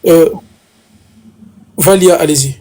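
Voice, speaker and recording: A man hosting a live chat, thanking callers and signing off with them in a quick, courteous way. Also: fairly high pitch, fairly even intonation, dark tone, a little hiss or hum. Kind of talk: casual chat.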